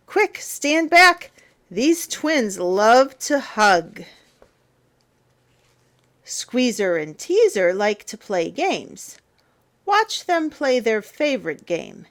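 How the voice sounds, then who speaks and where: A woman reading a children's picture book aloud as a storyteller, in a theatrical, playful, emphatic way with drawn-out words. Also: mid-range voice. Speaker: storyteller, teacher.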